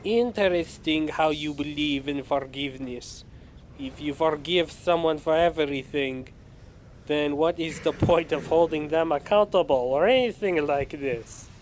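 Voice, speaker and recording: Man as a higher-voiced commanding general. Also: measured pace, a little hiss or hum, close to an everyday voice. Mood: scornful. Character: commanding male military general, sly and blunt. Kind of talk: audio drama acting.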